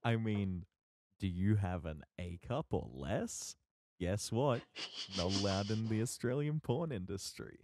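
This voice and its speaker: A man's voice: fairly low pitch, expressive, a little slow, darkish tone. A man co-hosting a podcast, bantering casually in a sarcastic, informal way. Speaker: podcast co-host.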